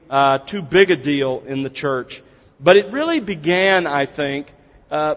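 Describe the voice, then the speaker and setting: A man's voice: a little high, fairly bright tone. A man teaching a seminar to a congregation, opening with prayer, speaking in an earnest, conversational way as he explains things step by step. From United States. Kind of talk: seminar lecture opened with prayer. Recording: dull, reverberant hall sound.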